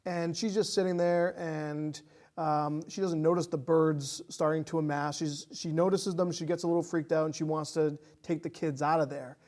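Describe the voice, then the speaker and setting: A man with a fairly high-pitched voice gives a casual film review in conversation. He speaks in a hesitant, halting way, feeling out his phrasing as he goes. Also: steady tone, darkish tone.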